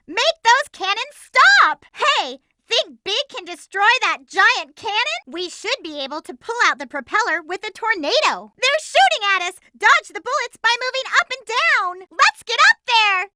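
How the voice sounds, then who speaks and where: A woman's voice: extremely high pitch, noisy background, full character voice. A woman voicing a spirited, lovestruck young girl hedgehog in a video game, feisty and bossy with a perky, emphatic delivery. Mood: urgent. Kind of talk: video game character voice lines.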